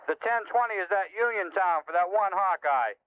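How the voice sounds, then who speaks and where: A man playing a brisk, helpful CB trucker, talking in clipped slang through a radio filter. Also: high voice, lively intonation, clean tone. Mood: businesslike. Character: Mean Machine, brisk helpful male CB trucker. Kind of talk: scripted CB radio role-play. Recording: tinny band-limited CB radio.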